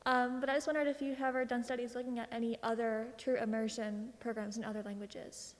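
A woman in the audience asks a hesitant, polite question at the microphone. Her voice is fairly high and echoes with the long reverb of a large hall. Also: little pitch movement, quick pace. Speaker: audience member asking a question. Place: auditorium floor at a microphone.

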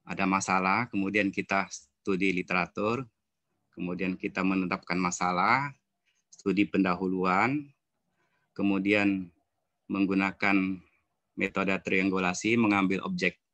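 A man presents at an online seminar. His voice is low and forceful, with a dry sound, and he goes haltingly, repeating phrases. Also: fairly even intonation, dry recording. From West Kalimantan, Indonesia. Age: 50s. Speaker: student presenter. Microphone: video call.

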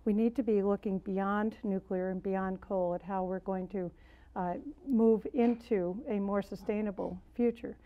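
A woman's voice: mid-range voice, steady tone, measured pace. A woman in her sixties speaking unscripted as an expert on a studio panel, in slightly muffled sound. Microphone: lapel mic.

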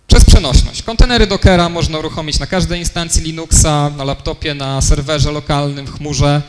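A man's voice: on the high side, fairly even intonation, a little fast, soft background music. A young man giving a technical conference talk in a lecture room, explaining step by step with many fillers and self-corrections. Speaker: conference speaker. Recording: long hall reverb, faint noise.

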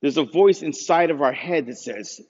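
A man preaching from a lectern, earnest and measured, his voice carrying a long reverb through a small brick chapel. The recording is a little dull and muffled, picked up by a camera across the room. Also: a little high, expressive, a little mellow, breathy edge. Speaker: pastor. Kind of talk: sermon.

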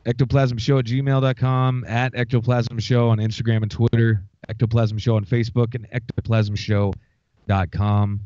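A man's voice: medium pitch, flat delivery, moderate pace, warm tone, no room sound. A man hosting a casual podcast who swears freely and laughs a lot. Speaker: podcast host. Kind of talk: casual chat. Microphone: headset mic. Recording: dry, dull lo-fi call audio.

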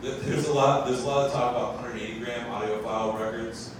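A man's voice: mid-range voice, little pitch movement, fairly quick. A young man who owns a vinyl pressing plant, casually explaining to a live audience with lots of fillers and self-corrections, into a handheld mic in a brick bar. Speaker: vinyl pressing plant owner speaking at a science cafe. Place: large brick-walled bar room. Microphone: handheld mic, filmed from far off. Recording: echoey room, far camera, background noise.

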